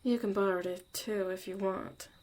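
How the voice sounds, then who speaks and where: A woman acting a gruff, guarded but secretly caring young mage mentor in a zombie apocalypse ASMR roleplay, soft-spoken and often near a whisper. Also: mid-range voice, fairly even intonation, normal speed, slight background noise, natural voice. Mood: defensive, softening.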